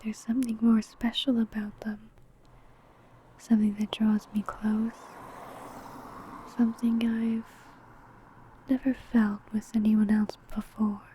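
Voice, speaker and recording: Woman playing predatory female vampire, ASMR roleplay, breathy murmur, very mellow, falsely sweet, faint background ambience. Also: on the high side, level tone, slow, long pauses, slight background noise. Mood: enchanted, longing. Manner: soft, breathy, whispery murmuring. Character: ancient female vampire, obsessive, predatory, feigning sweet clumsy coworker.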